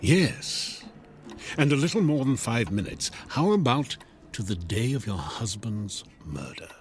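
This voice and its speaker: A man's voice: medium pitch, sing-song, a little slow. An actor playing a sly, devilish door-to-door salesman in a radio drama, with glib, coaxing, theatrical sales patter. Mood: smug, goading. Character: sly devilish door-to-door salesman peddling a time-bending clock. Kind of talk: modern radio drama acting.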